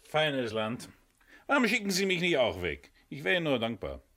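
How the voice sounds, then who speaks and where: An actor playing a talkative, aggrieved but loyal ship's mate in a German crime radio play, complaining with theatrical sarcasm. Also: male voice, on the high side, wildly swooping pitch, normal speed, pushed and bright, exaggerated voice. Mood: aggrieved, sarcastic.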